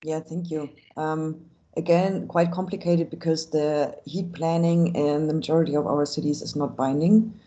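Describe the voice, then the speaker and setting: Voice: medium pitch, fairly even intonation, normal speed, few pauses, dark tone, lo-fi sound. A panel expert on heat planning, speaking carefully and hedging with many fillers. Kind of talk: panel discussion.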